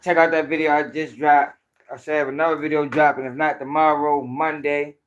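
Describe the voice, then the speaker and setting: A man's voice: a little high, level tone, fairly quick, lo-fi sound. A man with a Baltimore accent hosting a casual livestream, talking to viewers in loose, profane street slang. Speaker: host of a commentary channel.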